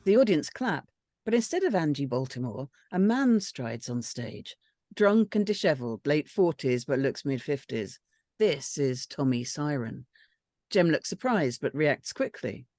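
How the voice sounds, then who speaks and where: A woman's voice: low voice, big pitch swings, a little slow, darkish tone, dry recording, studio quality. A woman reading out screenplay stage directions as scripted narration.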